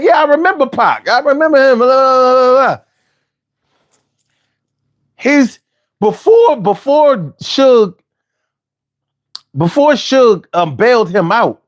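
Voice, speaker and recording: A man in his forties from Philadelphia with a Philly accent, hosting a casual, argumentative monologue full of slang and restarts. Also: very high voice. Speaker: host.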